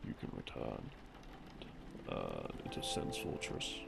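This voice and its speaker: A man giving a halting, unhurried sleep-aid ramble, explaining things from memory and trailing off as he muses. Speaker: audio creator playing a rambling boyfriend. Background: soft background music.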